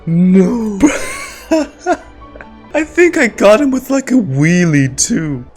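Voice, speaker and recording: A man's voice: high voice, very animated, slow pace, darkish tone. A young man doing casual, slangy game reaction commentary, joking and swearing as he plays. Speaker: gaming streamer. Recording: game music behind the voice. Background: video game music.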